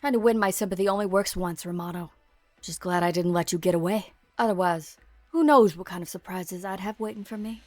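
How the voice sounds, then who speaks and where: A woman's voice: on the low side, a little fast, darkish tone, no echo, natural voice. A woman voicing a hard-nosed police officer with a commanding, bitter edge. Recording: dry close mic, faint music.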